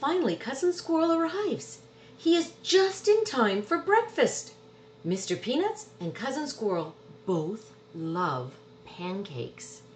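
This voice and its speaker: A woman's voice: fairly high pitch, a little hiss or hum. A woman reading a children's storybook aloud expressively, drawing out words for emphasis. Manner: animated, drawn-out words for emphasis. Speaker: storybook reader. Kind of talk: expressive children's picture book read-aloud.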